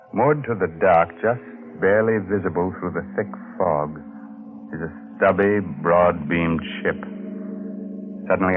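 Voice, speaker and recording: A man hosts a vintage radio mystery with theatrical, tongue-in-cheek menace. His voice is low and measured with pauses, on a band-limited old recording. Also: narrow, tinny audio. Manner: mock-sinister, theatrical, droll, deliberate pauses. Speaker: mystery show host and narrator. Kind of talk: 1940s radio horror hosting, scripted narration.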